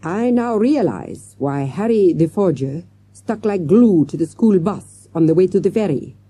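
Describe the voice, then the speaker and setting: A woman's voice: lowish voice, animated, a little slow, dark tone, husky, no room sound. A woman voicing a grave, self-assured male French detective inspector in a children's storybook. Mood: rueful, musing.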